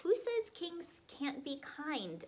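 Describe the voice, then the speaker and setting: A woman voicing an upset young girl dressed as a queen, speaking in an indignant, high-pitched way. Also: wildly swooping pitch, slow, background noise, heavily acted.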